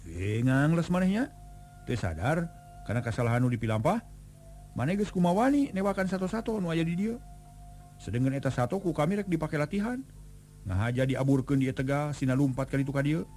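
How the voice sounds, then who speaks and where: A man voicing an arrogant, hot-tempered young man who runs faster than the wind, scolding in a high, strained voice. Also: expressive, a little mellow, faint music behind, lightly acted. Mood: boastful.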